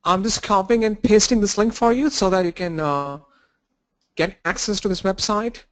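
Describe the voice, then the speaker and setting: A man's voice: high voice, fairly bright tone. Stuffy-nosed man teaching a class. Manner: halting, restarts and fillers, walks through screens. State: blocked nose from a cold. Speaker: instructor. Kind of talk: live online training lesson.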